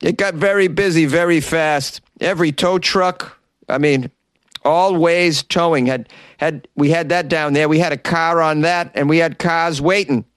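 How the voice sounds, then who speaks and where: A man's voice: on the high side, fairly even intonation, a bit bright, smooth, no echo, studio quality, pitched above the performer's own voice. A man putting on a Boston accent to play a weary, overwhelmed male police constable who rambles and repeats himself. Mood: harried. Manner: rambling, halting repetitions.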